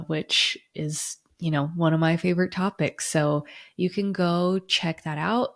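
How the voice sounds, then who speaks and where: A young woman hosts her own podcast in a chatty, earnest manner. Her voice sits low with a gravelly fry, and a lingering cold makes it a touch raspy and nasal. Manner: chatty and earnest, with filler words. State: getting over a cold, a bit raspy and nasal. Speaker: podcast host. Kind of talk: casual solo podcast talk.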